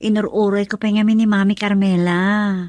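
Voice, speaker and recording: A woman's voice: mid-range voice, level tone, moderate pace, darkish tone, no echo, lightly acted. A woman playing a troubled, yearning young wife and nurse in an Ilocano radio drama, speaking emotionally and pleadingly with an Ilocano accent. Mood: earnest, longing.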